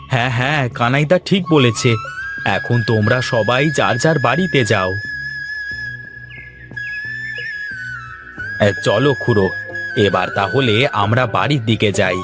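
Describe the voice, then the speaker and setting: A man playing kindly village farmers in a children's cartoon folktale, with slow, dramatic delivery. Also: medium pitch, lively intonation, natural voice. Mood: calm, advising. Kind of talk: Bengali children's cartoon story dialogue. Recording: music under the voice.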